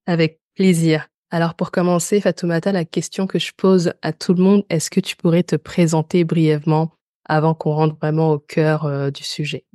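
Female podcast host, soft dark timbre, lowish pitch, conversational interviewing, dry recording. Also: level tone, moderate pace, no echo.

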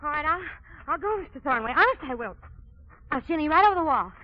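A woman's voice: high voice, lively intonation, fast, a little hiss or hum. An American actress in 1940s radio drama playing an eager, stubborn, stage-struck young woman who pleads earnestly. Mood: pleading, defiant. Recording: dull, lo-fi 1940s radio recording.

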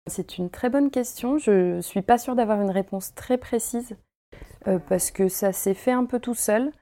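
A woman's voice: mid-range voice, measured pace, warm tone. A woman in her thirties, from Paris, chatting at home about her textile work with many fillers and easy laughs. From Paris, France. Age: 30s. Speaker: guest, costume maker and embroiderer. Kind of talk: casual interview chat.

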